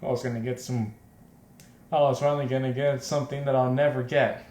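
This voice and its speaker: A man reading a visual novel aloud as a let's player, breaking in with loud, repetitive joking reactions. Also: mid-range voice, little pitch movement, brisk. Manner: exclaims loudly and repeats himself in reaction. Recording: roomy echo, faint background noise.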